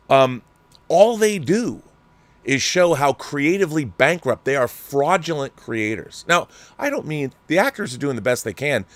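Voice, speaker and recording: A man's voice: medium pitch, dry recording. A middle-aged man hosting a commentary show, ranting sarcastically and with exasperation. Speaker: show host.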